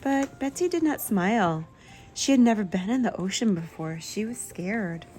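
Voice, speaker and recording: A woman's voice: mid-range voice, expressive, moderate pace, a little mellow, breathy edge, faint music behind, low-quality recording. A woman reading a children's picture book aloud expressively, with simple asides to a young listener. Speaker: children's book reader, channel host.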